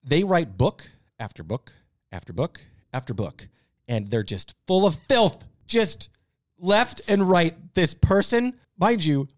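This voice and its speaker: A high-pitched American man with a very animated, swooping delivery. He banters casually as a podcast co-host, teasing and excitable, circling back over his own phrases. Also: slow pace. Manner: excitable, teasing, builds up with repetition.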